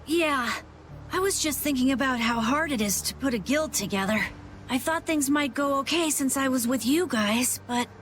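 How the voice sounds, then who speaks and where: A woman's voice: high voice, normal speed, a bit bright, a little hiss or hum. A woman voicing an earnest, anxious young boy guild leader in a video game, speaking hesitantly.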